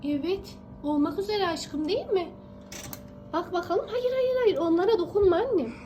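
High-pitched mother coaxing her little child. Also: lively intonation, moderate pace, mellow, slight background noise. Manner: affectionate, encouraging, uses pet names and repeats phrases. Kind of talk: casual coaxing talk to a small child while baking.

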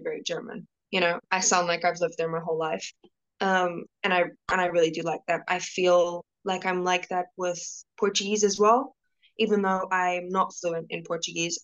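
A woman's voice: fairly low pitch, a bit bright, rough recording. A young woman from South Africa, a guest on a video call, talking casually and at length with many fillers and restarts.

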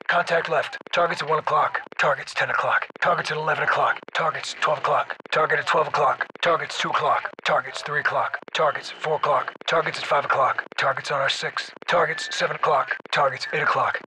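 An actor playing a tough, focused special forces soldier, giving terse combat callouts for a military shooter game. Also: male voice, high-pitched, pushed and bright. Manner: clipped, terse radio-style military phrases.

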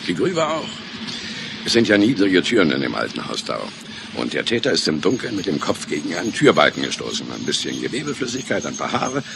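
An actor in a radio crime play voicing a gruff, sardonic, authoritative senior detective who doubts what he is told. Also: deep voice, wildly swooping pitch, a little fast, background noise, heavily acted. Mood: gruff, matter-of-fact. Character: gruff, sceptical senior police detective, defensive of the force. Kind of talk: German radio play dialogue.

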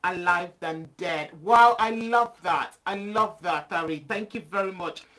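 A woman's voice: lowish voice, a little slow, a bit bright. A woman hosting a talk show who reads out viewer comments and speaks to them with encouragement. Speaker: host.

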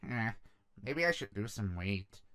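A man voicing a kindly, cheerful, plump demon god of disease in a comic dub, gentle and earnest. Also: fairly low pitch, very animated, measured pace, smooth.

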